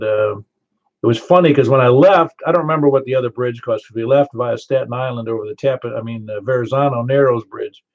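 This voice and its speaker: An older man with a Southern American accent running a ham radio net, chatty and quick to laugh as he tells stories. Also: mid-range voice. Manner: chatty, storytelling, laughs easily. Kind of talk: casual radio chat. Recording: muffled ham radio audio.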